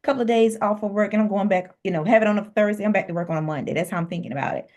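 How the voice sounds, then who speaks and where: A woman's voice: medium pitch, very fast. A woman co-hosting a podcast, talking frankly and telling stories in a casual chat. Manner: frank, animated storytelling, affirming listener. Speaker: podcast co-host, registered nurse.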